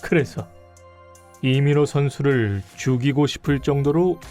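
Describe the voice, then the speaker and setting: A man playing a stern, suspicious police detective in an audio drama, speaking deliberately and pointedly. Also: medium pitch, a little mellow, faint music behind, natural voice. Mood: accusing, sardonic.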